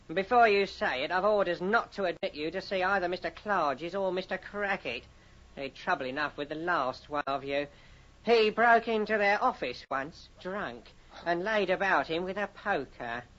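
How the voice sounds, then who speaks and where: Nosy officious office clerk, chatty, blunt, old radio play, dull lofi sound. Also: male voice, sits high, lively intonation, a little slow, a bit bright. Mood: brisk, officious. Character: gossipy, officious male solicitor's clerk, blunt but pitying. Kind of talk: radio horror drama acting. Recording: dull, lo-fi vintage radio recording.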